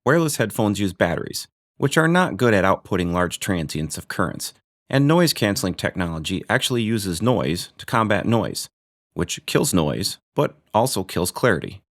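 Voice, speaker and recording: Low-voiced man with dry humor. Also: sing-song, normal speed, a bit bright. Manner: dry, joking asides. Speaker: video host reviewing gear. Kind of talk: scripted review narration.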